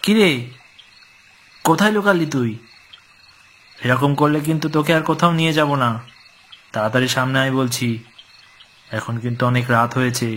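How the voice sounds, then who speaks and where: A man's voice: mid-range voice, moderate pace, long pauses, a bit bright, close to an everyday voice. A man voicing a protective young older brother in a horror cartoon. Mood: scolding. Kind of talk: Bengali horror cartoon dub.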